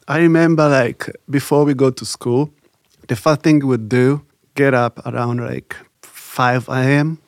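A man from Rwanda speaks, recounting his upbringing. His voice has a low gravelly fry and sits close and dry against the mic. He pauses often and fills gaps with "you know.". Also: steady tone, no echo. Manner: halting, reflective, many "you know" fillers. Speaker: interview guest. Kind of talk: recalled life story, casual.

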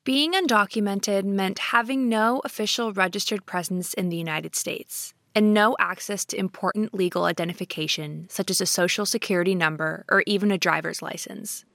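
A woman reporter reading a scripted narration in a calm, even storytelling manner. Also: mid-range voice, normal speed, vocal fry, few pauses, no echo, clean studio sound.

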